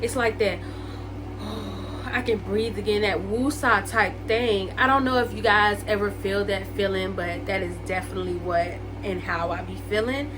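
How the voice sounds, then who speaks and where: A woman's voice: mid-range voice, steady tone, moderate pace, noisy background. A woman in her late twenties chatting casually to her viewers, full of "like" and "um" and calling them y'all. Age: 20s. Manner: chatty, lots of likes and ums, addresses viewers as y'all and guys. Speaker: vlogger. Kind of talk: casual get-to-know-me chat to camera.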